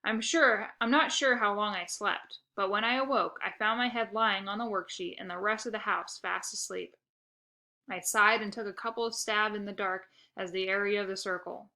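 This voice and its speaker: Woman reading a kids chapter book out loud, bright crisp forceful voice, expressive storyteller. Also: mid-range voice, moderate pace. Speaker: read-aloud narrator. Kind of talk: scripted children's storybook narration.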